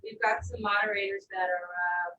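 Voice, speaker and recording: A woman in her forties from Southern California, co-hosting a live online workshop in animated, exclamatory casual chat. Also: mid-range voice, highs cut off. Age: 40s. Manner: animated, exclamatory, talks in quick asides. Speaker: workshop co-host. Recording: roomy echo, distant from the mic.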